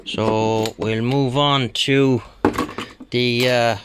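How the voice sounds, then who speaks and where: A man's voice: mid-range voice, fairly even intonation, background noise. A man giving a casual, hesitant running commentary as he works on a repair, muttering to himself at times. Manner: unhurried, muttering, hesitant while working. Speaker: hobbyist radio restorer.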